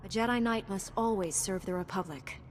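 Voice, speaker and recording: A woman's voice: mid-range voice, brisk, lightly acted. An actress playing a composed, principled female knight in a science fiction video game, earnest, formal and firm. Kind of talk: dramatic video game acting. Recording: game audio with faint noise.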